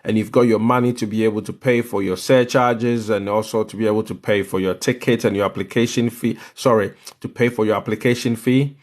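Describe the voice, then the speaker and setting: A man's voice: on the low side, steady tone, brisk, hardly any pauses. Ghanaian man giving a step-by-step tutorial. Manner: instructive, repeats phrases for emphasis. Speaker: livestream host explaining visa applications. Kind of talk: live step-by-step tutorial talk.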